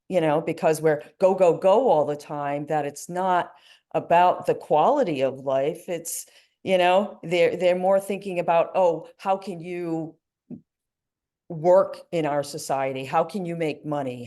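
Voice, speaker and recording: Low, mellow-voiced woman grief coach. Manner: earnest, reflective, many "you know"s. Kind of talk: interview answers.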